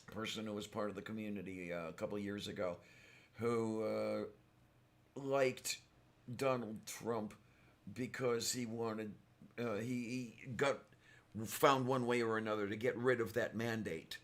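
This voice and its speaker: Brief: low-voiced male stream host, hoarse with a sore throat, slow and rambling. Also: fairly even intonation. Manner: rambling, halting, many fillers. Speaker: livestream host. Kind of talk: casual livestream chat.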